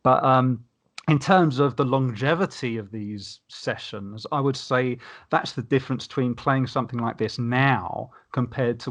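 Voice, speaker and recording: Male podcast panelist, mid-range voice, casual, enthusiastic, long-winded explainer. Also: mid-range voice.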